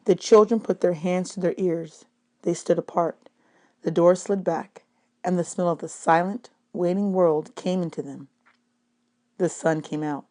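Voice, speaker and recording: A woman with a slightly low voice narrates a story. Her read-aloud is expressive and measured, with dramatic emphasis suited to literary prose. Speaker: audiobook narrator.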